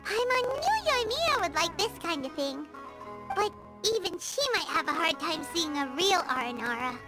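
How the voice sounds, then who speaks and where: A woman voicing a tiny, cheerful, childlike fairy companion in an anime-style video game, with a squeaky voice. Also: big pitch swings, moderate pace. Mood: musing, regretful. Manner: refers to self in third person, chirpy. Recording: music playing behind the voice.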